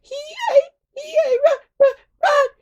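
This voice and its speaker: A woman voicing a small, grumpy male storybook dragon with a shrill, stammering, piercing voice. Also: fairly even intonation, measured pace, muffled recording. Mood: indignant. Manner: stammering, repeating words.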